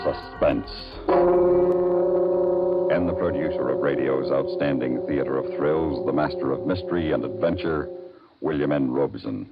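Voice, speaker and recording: A man announces an old suspense series in a dramatic style. His voice is extremely deep and gravelly, over loud music. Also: unhurried. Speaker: radio show announcer. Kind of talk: dramatic 1950s radio announcing. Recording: dull old radio recording, loud music.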